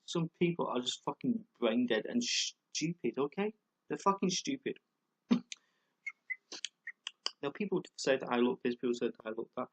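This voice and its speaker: A man's voice: mid-range voice, animated, normal speed, long pauses, breathy edge, low-quality recording. A man vlogging a rambling, heated monologue, full of fillers, stumbles and repeated words, with plenty of swearing. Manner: swears a lot and gets heated. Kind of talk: ranting monologue to camera.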